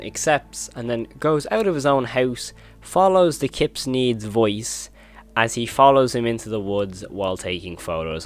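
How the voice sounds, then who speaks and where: A man hosting a horror video commentary, retelling and reacting in an informal, emphatic way. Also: on the low side.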